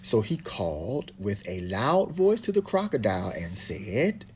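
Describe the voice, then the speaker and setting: A woman's voice: a bass voice, very animated, unhurried, darkish tone, a little hiss or hum. A woman reading a storybook aloud as the narrator. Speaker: narrator, reading partner to a child.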